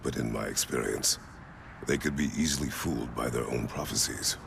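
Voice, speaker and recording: A grim, battle-worn warrior god for a video game. His voice is extremely low and thick with gravel. He speaks in short, solemn, measured phrases over clear background ambience. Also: background noise, full character voice. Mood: grave, reflective. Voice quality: very deep gravelly voice. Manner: terse, solemn, measured formal speech. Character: stoic, grim middle-aged Greek warrior, former god of war. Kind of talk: dramatic video game acting.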